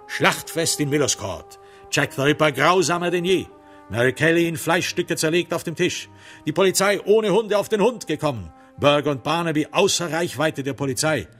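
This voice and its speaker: A man's voice: a little high, normal speed, fairly bright tone, soft background music. A man narrating a literary crime radio play in a sardonic, theatrical storytelling manner.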